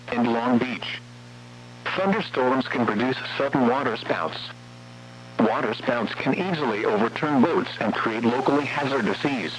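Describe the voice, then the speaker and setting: A synthetic male text-to-speech voice with an American accent, reading formal weather warning bulletins in a measured, impersonal way over a radio broadcast. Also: medium pitch, a bit bright. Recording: muffled lo-fi radio with faint hum.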